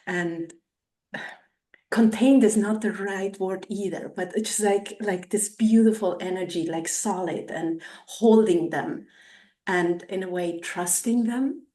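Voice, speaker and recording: A woman's voice: lowish voice, creaky, a little mellow. A woman hosting a podcast, recorded in an echoing space at some distance from the mic. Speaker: podcast host. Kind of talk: scripted introduction, then casual conversation. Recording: roomy echo, distant from mic.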